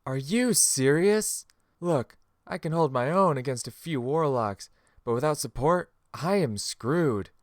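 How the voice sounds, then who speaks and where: A man's voice: a little high, expressive, measured pace, no echo, studio quality. A man playing a frank, easygoing guild medic in a fantasy game audiobook. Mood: incredulous.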